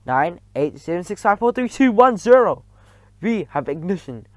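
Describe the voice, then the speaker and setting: A man's voice: fairly high pitch, animated, normal speed, no room sound, close to an everyday voice. A man reading a storybook aloud, voicing a cruel, commanding female witch leader who barks and screeches. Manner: theatrical, barking and screeching.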